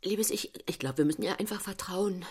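A woman's voice: mid-range voice, brisk, darkish tone, husky, close to an everyday voice. An actress playing an earnest, self-righteous mother who is easily outraged, speaking emphatically in a stage-like drama. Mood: soothing motherly.

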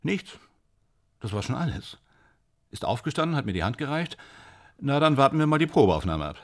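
An actor playing an anxious, evasive, self-doubting man in a German radio drama, speaking in halting, clipped phrases. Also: mid-range voice, big pitch swings. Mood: resigned, evasive. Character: anxious, evasive film actor dreading a screen test.

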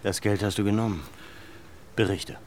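A man's voice: lowish voice, fairly even intonation, moderate pace, heavy background noise, natural voice. A man playing a firm, probing leader. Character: determined landowner leader, adult man. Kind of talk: radio play acting.

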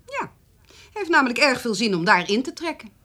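A wry wife in a radio play. Her voice is fairly high and lively, with dry, pointed remarks. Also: brisk, heavily acted. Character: wry wife quietly challenging her stern husband. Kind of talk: radio drama acting.

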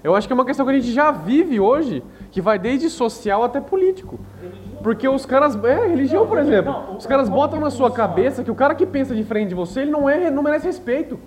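Fast, animated man. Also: very high voice. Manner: animated, quick, opinionated. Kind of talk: casual chat.

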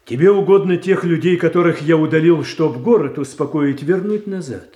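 An actor in a radio play playing a proud, calculating royal statesman with a formal, measured, firm manner. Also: a little high, mellow, reverberant, natural voice. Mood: cold, firm. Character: proud, controlled, calculating statesman, the tsar's brother-in-law. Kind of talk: theatrical radio drama acting.

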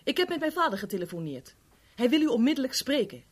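A woman's voice: high voice, sing-song, fast talker, breathy edge, heavily acted. An actress in a 1970s Dutch radio play, playing a headstrong, prickly daughter of a rich man, insistent and indignant. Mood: indignant, cool. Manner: insistent, indignant, formal address.

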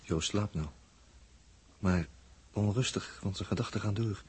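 An actor playing a hushed observer in a 1960s radio drama, ominously reporting on a restless sleeper. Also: male voice, on the low side, a little slow, husky. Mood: hushed, watchful. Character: hushed male observer narrating a sleeper's unrest. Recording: faint hiss, old radio recording.

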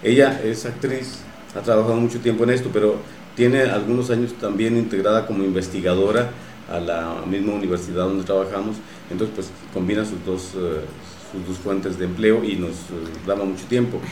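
Low-voiced Mexican man in a roomy space. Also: steady tone, normal speed, darkish tone. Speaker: radio drama producer and guest. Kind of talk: casual interview talk. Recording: roomy echo with faint noise.

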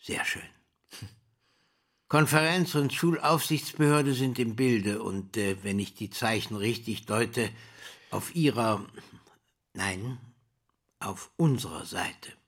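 Husky, courtly old school principal. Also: male voice, lowish voice, a bit bright, full character voice. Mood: urging, pleased. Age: older. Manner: formal, unctuous, measured with weighty pauses. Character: aging male school principal, courtly, flattering, scheming. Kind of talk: German radio drama acting.

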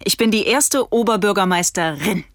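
An actress playing a righteous, eco-minded city mayor in a radio play, articulate and rhetorical. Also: female voice, mid-range voice, normal speed, close to an everyday voice. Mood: formal, indignant.

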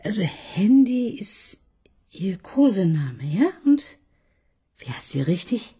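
A woman's voice: medium pitch, big pitch swings, slow pace, dark tone, slightly rough, exaggerated voice. An actress playing an ironic, coaxing female police inspector in a radio play, often dropping into a whisper. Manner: teasing, coaxing, mocking. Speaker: police detective.

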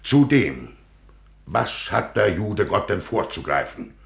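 Creaky, deep old zealot patriarch. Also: male voice, very animated, slow, full character voice. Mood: stern, adamant. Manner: pompous, preaching, emphatic. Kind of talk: 1950s German radio play acting. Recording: echoey 1950s radio studio recording.

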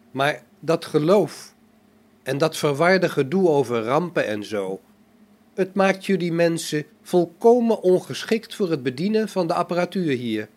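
Male actor as sci-fi robot, higher voice, self-assured, logical, formal, condescending, radio drama. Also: close to an everyday voice. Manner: formal, matter-of-fact, condescending. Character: self-assured robot running a space station, coolly logical. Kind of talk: radio play acting.

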